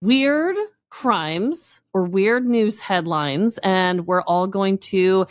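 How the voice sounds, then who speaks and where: A woman's voice: mid-range voice, drawn-out words, clear, resonant tone, no echo, studio quality. A woman hosting a casual podcast chat, playfully dramatic and agreeable with the others. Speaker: podcast host.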